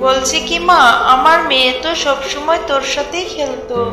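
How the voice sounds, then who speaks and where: A worried village mother acted by a woman in a fairy-tale cartoon, high-voiced and plaintive. Her voice echoes, with music clearly playing behind. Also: steady tone, moderate pace, close to an everyday voice. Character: distraught village mother searching for her daughter.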